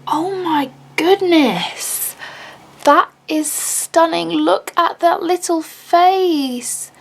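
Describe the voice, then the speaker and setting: A woman unboxing handmade charms in a casual vlog, gushing and excitable, with a slightly raspy voice. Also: very high voice. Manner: gushing, excitable, many "oh my God" and gasps.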